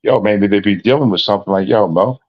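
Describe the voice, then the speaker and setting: A man with a deep voice, a guest in a casual livestream chat, laid-back and repeating his phrases. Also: quick pace. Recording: dull lo-fi call audio.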